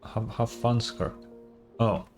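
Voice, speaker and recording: A man's voice: medium pitch, steady tone, slow pace, darkish tone, faint music behind. A man streaming a game, reading its dialogue aloud and adding casual commentary.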